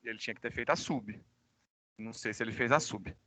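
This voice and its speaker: A Brazilian man, a high school teacher with a cold, giving informal class announcements online and addressing students directly. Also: fast talker, sharp tone, no room sound. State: recovering from a cold. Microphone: microphone.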